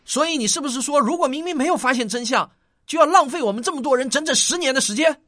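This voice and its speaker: A man playing a doting, excitable scientist father in a radio drama, stammering in disbelief. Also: very high-pitched, fast talker. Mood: indignant disbelief.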